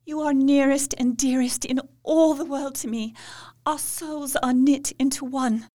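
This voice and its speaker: Woman playing Mina, grave high-voiced young wife. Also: fairly even intonation, warm tone. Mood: tender. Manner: solemn, pleading, slow and measured. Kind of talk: radio play acting.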